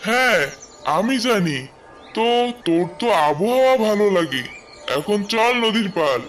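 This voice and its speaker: A man's voice: high voice, big pitch swings, a little slow, fairly bright tone, far from the mic, soft background music, heavily acted. A man voicing a joking young male monkey in a cartoon, a loyal and teasing friend. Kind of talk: cartoon dialogue dub.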